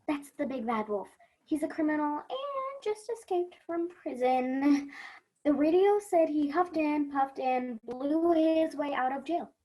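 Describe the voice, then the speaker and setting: A woman playing a cautious young girl in a stage play, speaking hushed and worried. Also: sits high, lively intonation, a little slow, rough recording.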